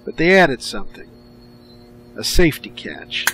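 Over clear background noise comes a man acting as a stern government commander in an audio drama. His voice is medium in pitch, terse and authoritative, laying out orders in short, clipped sentences. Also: sing-song, a little slow. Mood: brisk, businesslike. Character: hard, commanding government official briefing a prisoner.

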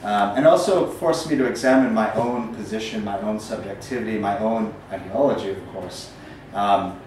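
Thirties male, American accent, casual lecturing poet, slightly low voice, distant mic with faint room noise. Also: moderate pace, a little mellow, heavy reverb. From Northern Ireland. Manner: rambling, frequent ums, self-deprecating humor. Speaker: poet giving a talk. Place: small gallery room, indoors.

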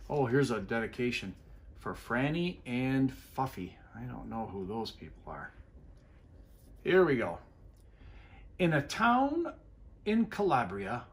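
A man in his fifties reading a picture book aloud for children, expressive and pausing to explain things. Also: mid-range voice. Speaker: school superintendent reading a storybook. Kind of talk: children's story time read-aloud. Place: living room armchair by a fireplace, indoors.

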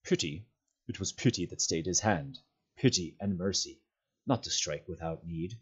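Man acting grave, husky old sage. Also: sits low, highs cut off. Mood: gently reproving. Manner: solemn, weighty, deliberate.

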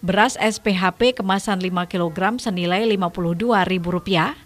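The mid-pitched woman you hear narrating a field report on local evening television news. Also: brisk. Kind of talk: scripted voice-over narration.

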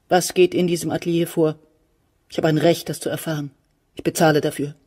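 A woman's voice: fairly low pitch, dark tone, breathy edge. An actress playing a bitter, commanding middle-aged mother who interrogates her grown son in a radio drama. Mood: angry, interrogating. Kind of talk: German radio drama acting.

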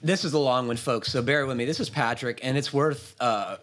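Male podcast host, plain middle pitch. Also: brisk, a bit bright. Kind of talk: casual chat and reading a listener email aloud. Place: indoor room on a video call.

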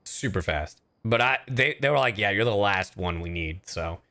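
A man streaming a game and chatting casually, animated and often halting mid-thought. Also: on the low side. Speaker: streamer and voice actor. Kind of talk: casual livestream chat over gameplay.

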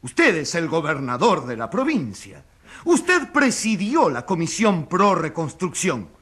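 Indignant high-pitched crusading accuser. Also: male voice, fairly bright tone, breathy edge, exaggerated voice. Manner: emphatic, declamatory, insistent. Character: indignant crusading male newspaper editor accusing a governor. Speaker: accuser in a courtroom radio drama. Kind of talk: theatrical radio drama oratory.

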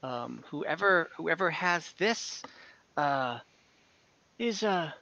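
Bright-voiced game master, halting delivery. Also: medium pitch, lively intonation, vocal fry, no echo. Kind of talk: explaining items in an online tabletop game. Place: video call from home. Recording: dull lo-fi call audio.

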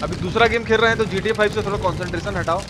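Man putting on a high-pitched rustic Bihari uncle. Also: very fast, noisy background, natural voice. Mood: chiding. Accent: eastern Hindi, Bihari. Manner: colloquial, exclaiming, crude slang. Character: Chacha, folksy rustic Indian uncle, excitable and jokey. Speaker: live game streamer. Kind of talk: live horror game commentary with chat replies.

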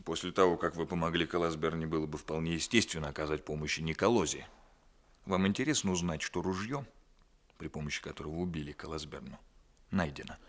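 A male actor in a Soviet radio drama playing a composed, ironic police captain who questions a suspect in a measured, pressing way. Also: extremely low pitch. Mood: probing, ironic.

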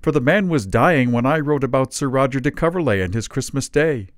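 A man reading aloud voices a pompous, soldierly old-fashioned gentleman with an archaic, stately and emphatic delivery. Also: medium pitch, expressive, brisk, no echo, studio quality, pitched above the performer's own voice, natural voice.

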